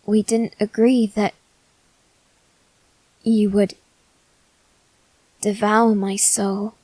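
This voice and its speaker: A woman playing a wounded, possessive teenage boy aristocrat in an ASMR roleplay, speaking haltingly with long pauses. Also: medium pitch, little pitch movement, warm tone, dry recording, poor audio. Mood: confused, hesitant. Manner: slow, halting, measured delivery with long pauses. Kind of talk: ASMR character roleplay, scripted drama.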